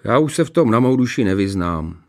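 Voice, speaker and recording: A man's voice: on the low side, sing-song. A man voicing a blustering, indignant father in a story, growling as head of the household.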